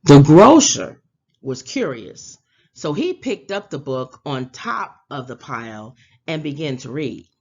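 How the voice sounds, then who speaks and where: A woman reading a children's storybook aloud in an expressive, theatrical storytelling manner. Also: sits low, slow pace, muffled recording. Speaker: read-aloud presenter.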